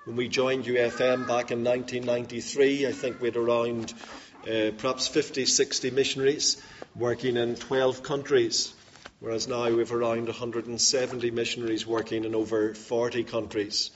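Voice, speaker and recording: A middle-aged man with a Northern Irish accent addresses a church congregation on behalf of his mission. He speaks in an earnest, measured way, pausing often on "uh", and his delivery stays fairly flat. The recording is dull and lo-fi, with faint hiss behind him. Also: mid-range voice. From Northern Ireland. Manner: measured, earnest, frequent "uh" fillers. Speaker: mission assistant director.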